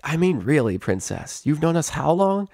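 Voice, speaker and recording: A man's voice: fairly high pitch, very animated, normal speed, gravelly, darkish tone, no room sound, clean studio sound, pitched above the performer's own voice, exaggerated voice. A man voicing a brash, loyal young female pegasus pony in a story reading. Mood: defiant.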